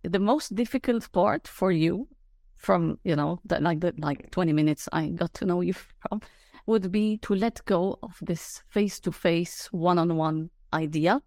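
A young woman hosting a podcast, with a low, soft voice; she chats casually, laughing often and hesitating with fillers. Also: unhurried, no room sound, studio quality. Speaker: podcast host. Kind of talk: casual conversational chat.

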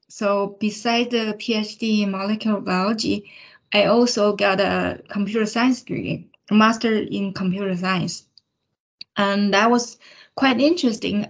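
Woman in her 50s, native Chinese speaker from China, interview guest. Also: on the high side. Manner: plain storytelling with light laughs. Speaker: software engineering manager. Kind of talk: interview answers.

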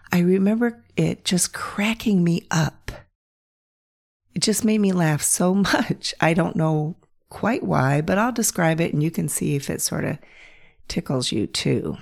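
A middle-aged woman with a low, croaky voice, hoarse from a bout of bronchitis so her words crack and rattle. She talks slowly and gently, telling stories with a playful warmth. A relaxed solo podcast host. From north central Pennsylvania. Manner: gentle, playful storytelling with soft laughs. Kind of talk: reflective solo podcast monologue.

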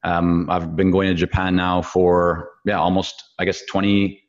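Low-voiced man, muffled lo-fi sound. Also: level tone, unhurried. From Canada. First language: Hebrew. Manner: rambling, hesitant with many fillers. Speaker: guest. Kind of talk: podcast interview.

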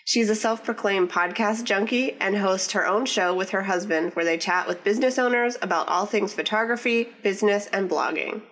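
A woman's voice: medium pitch, moderate pace, few pauses, fairly bright tone. A woman hosting a podcast, reading a friendly scripted intro and then asking interview questions. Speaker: podcast host.

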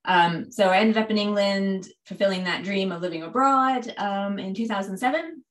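A woman's voice: mid-range voice, normal speed. A woman from Canada with a Canadian accent, a podcast guest telling stories in a casual, chatty, self-deprecating way, in an echoey room. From Halifax, Canada. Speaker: podcast guest, cycling shop owner. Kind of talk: casual conversational storytelling.

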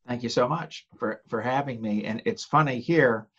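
A man's voice: mid-range voice, moderate pace, a bit bright, breathy edge, lo-fi sound. An American man in his sixties with a Southern accent, a guest telling his story in a casual podcast talk and laughing often. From United States.

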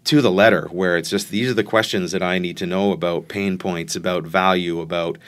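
A man's voice: sits low, steady tone, brisk, a bit bright. A middle-aged man speaking conversationally as an interview guest, explaining his work through personal anecdotes. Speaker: interview guest, sales executive.

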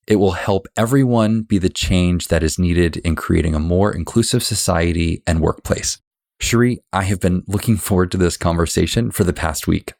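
Low-voiced male podcast host, dry sound. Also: normal speed, creaky, darkish tone, no room sound. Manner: earnest, scripted intro then conversational questions. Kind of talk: workplace podcast interview.

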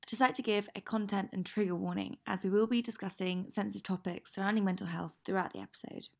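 A woman hosts a podcast and speaks in a friendly, clear way. She reads a scripted introduction, then chats with her guest over a video call. Her voice sits at an ordinary pitch for a woman. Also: fairly even intonation, fast talker. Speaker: podcast host and journalist. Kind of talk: podcast interview.

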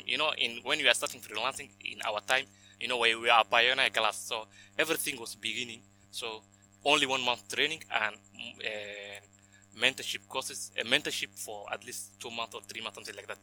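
A young man gives earnest interview answers. He lists his work item by item and often says "you know". His voice is very bright, with faint music behind him. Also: medium pitch, low-quality recording. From Somalia, raised in Kenya. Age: 20s. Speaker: podcast guest.

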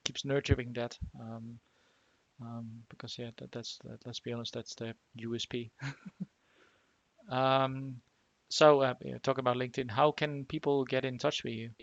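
A man hosting a casual remote interview, talking haltingly with lots of fillers and restarts. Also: medium pitch, flat delivery, no echo, muffled recording. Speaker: podcast host.

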